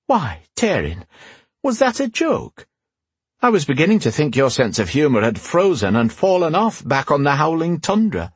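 A man's voice: a little high, wildly swooping pitch, moderate pace, slightly rough, dry recording, voice pushed up, heavily acted. A man voicing a stubborn, playful young warrior queen in an audiobook fantasy story, proud and tender. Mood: playful, teasing. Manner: playful, teasing, regal when commanding.

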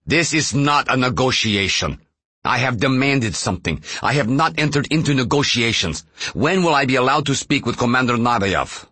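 A man voicing a defiant, demanding Russian militant leader in an audiobook thriller, forcefully issuing demands. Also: low-pitched, big pitch swings, moderate pace, slightly rough, dry recording, heavily acted. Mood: angry, demanding.

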